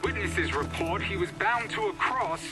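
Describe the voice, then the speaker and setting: An actor playing an earnest on-scene news reporter in a game, with formal, dramatic 1960s broadcast delivery. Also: male voice, sits high, lively intonation, normal speed, over music. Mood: urgent.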